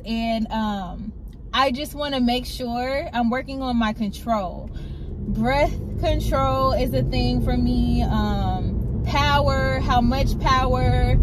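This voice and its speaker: A chatty, upbeat woman in her thirties with a fairly high voice talks straight into a phone camera on her dashboard. She sits in a parked car, and clear street and engine noise runs under her voice. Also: low-quality recording. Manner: chatty and upbeat, repeats phrases for emphasis. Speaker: vlogger. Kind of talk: casual vlog chat.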